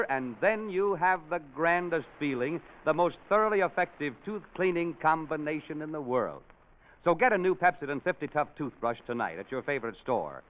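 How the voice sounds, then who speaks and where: An American man reading an emphatic, scripted 1940s radio commercial. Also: fairly high pitch. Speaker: commercial announcer. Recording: tinny 1940s radio recording.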